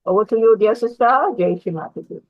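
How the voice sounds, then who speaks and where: A woman's voice: mid-range voice, fast talker, dull audio. A woman host introducing a guest on a video call, effusive and praising, with small hesitations. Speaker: meditation session host. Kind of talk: formal introduction.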